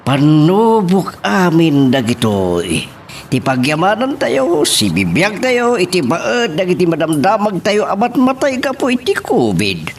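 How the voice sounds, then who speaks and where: A man acts out an older rural father, caring and patient, in an audio drama. His pitch moves up and down expressively as he soothes and chides. There is faint noise and ambience behind him. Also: huge pitch swings, measured pace, exaggerated voice. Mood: weary, earnest. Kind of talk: Ilocano audio drama acting.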